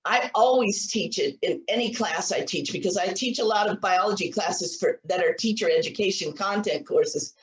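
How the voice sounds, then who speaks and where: A woman's voice: mid-range voice, moderate pace, few pauses. Older lady prof talking thru her slides, lots of restarts, kinda far off the mic in a roomy space. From United States. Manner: earnest, many restarts and repeated words. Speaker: biology professor giving a lecture. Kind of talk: virtual lecture with slides. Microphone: computer mic on a video call. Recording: echoey room, voice a little far from the mic.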